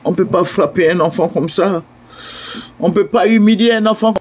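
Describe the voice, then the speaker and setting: High-voiced older Ivorian man pleading. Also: brisk, husky. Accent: Ivorian French. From Côte d'Ivoire. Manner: indignant, pleading, repetitive appeals. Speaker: online commentator. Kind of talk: impassioned video address.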